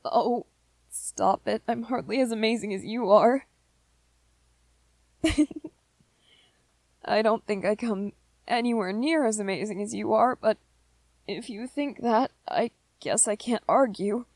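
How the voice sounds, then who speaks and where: A woman plays a gentle young male vampire in a romantic ASMR roleplay, pitched on the high side for the performer. He speaks slowly and hesitantly, tripping shyly over his words. The sound is dry and close, as if meant for one adored listener. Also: no room sound. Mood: modest, fond. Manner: hesitant, soft-hearted, stammering with repeated words.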